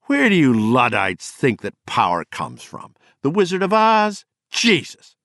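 A man's voice: fairly low pitch, big pitch swings, moderate pace, dry recording, clean studio sound, pitched above the performer's own voice. A man voicing a drunk, bitter and eloquent poet who rants mockingly with a rising voice. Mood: scornful. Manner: mocking, sardonic, raising his voice.

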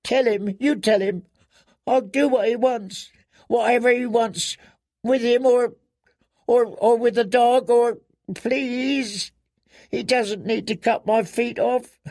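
A man voicing a frightened, broken young captive girl in an audiobook, whimpering and pleading with halting, stammered repetitions. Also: very high-pitched, steady tone, a little mellow, dry recording, pitched above the performer's own voice, heavily acted. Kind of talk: dramatic audiobook character reading.

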